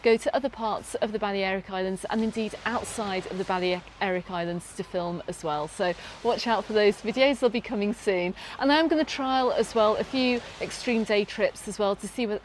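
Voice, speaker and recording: A woman's voice: mid-range voice, slight background noise. Chatty British woman vlogging outdoors. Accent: British English. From Britain. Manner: chatty, upbeat, with frequent ums and restarts. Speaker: travel vlogger. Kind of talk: casual travel vlog Q&A. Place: outdoors on a sunny beach, in a lifeguard tower.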